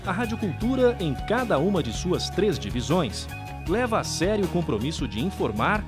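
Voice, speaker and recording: Brazilian man, documentary narrator, animated intonation, scripted delivery, clear music bed underneath. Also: high-pitched, moderate pace.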